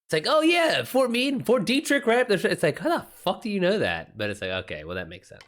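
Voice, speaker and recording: A man's voice: high voice, very animated, a little fast, a bit bright. An American man hosting a livestream, talking casually with viewers in an informal, slangy way and swearing freely. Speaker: livestream host.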